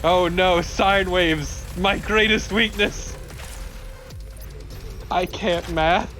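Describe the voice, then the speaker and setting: A man's voice: high-pitched, fairly even intonation, very slow, fairly bright tone, faint music behind. A man doing casual, joking co-commentary on a video game, wry and sweary. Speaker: let's play co-commentator.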